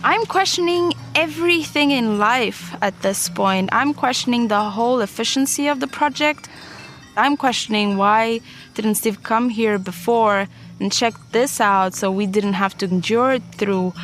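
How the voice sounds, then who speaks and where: A woman's voice: a little high, unhurried, fairly bright tone, faint music behind. A woman on an expedition giving exasperated, unscripted remarks with repetitive emphasis. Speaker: expedition member.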